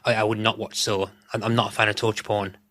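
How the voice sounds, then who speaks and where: A man with a fairly low, bright and forceful voice talks casually as a podcast guest. He explains things in a loose, rambling way. The sound is very dry, with little room to it. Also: little pitch movement, quick pace, dry recording. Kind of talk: casual chat.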